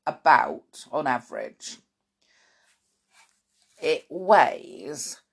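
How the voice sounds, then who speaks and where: A middle-aged woman with a croaky morning voice, chatting informally to young listeners before a story, full of ums and small laughs. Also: medium pitch. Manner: warm chatty aside-filled talk with many ums and little laughs. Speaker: children's podcast host and storyteller. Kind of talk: chatty spoken intro to children's story podcast.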